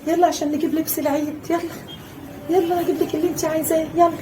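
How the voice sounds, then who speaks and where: A woman's voice: very high voice, flat delivery, racing, background noise. Woman playing a fussy Egyptian stepmother. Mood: remorseful. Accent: Egyptian Arabic. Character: fussy stepmother, doting then scolding. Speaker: actor in a family skit. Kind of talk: home-made family skit. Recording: voice a little far from the mic.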